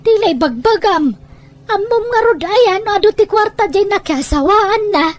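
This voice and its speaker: A woman's voice: very high voice, animated, moderate pace. A woman playing a blunt, nagging older mother of a bride in an Ilocano family drama. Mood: fretful.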